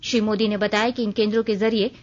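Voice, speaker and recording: A woman's voice: medium pitch, flat delivery, quick pace, muffled recording. A woman reading a scripted regional news bulletin on the radio in a formal news reader's style.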